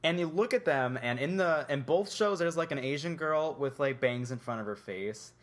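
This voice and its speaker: A man's voice: a little high, normal speed. A young man co-hosting a podcast, chatting casually and rambling with lots of filler words. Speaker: podcast co-host. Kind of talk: casual chat.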